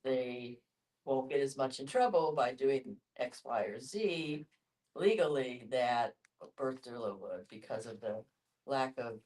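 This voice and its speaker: Very low-voiced woman, off-mic. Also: animated, measured pace, a little mellow. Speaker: discussion participant. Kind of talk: casual group discussion. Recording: distant microphone.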